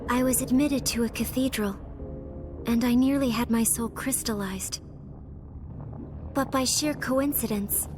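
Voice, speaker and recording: Grave, high-voiced game heroine. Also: female voice. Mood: sombre. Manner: measured, explanatory. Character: solemn young woman resistance member, grave and composed. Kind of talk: animated video game voice acting. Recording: music behind the voice.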